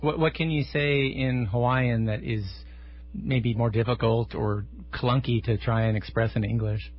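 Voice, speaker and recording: Male radio host, chatty and thoughtful, husky voice, slightly dull lo-fi sound. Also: medium pitch, fairly even intonation, measured pace. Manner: conversational, thoughtful questions with filler words. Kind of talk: radio interview.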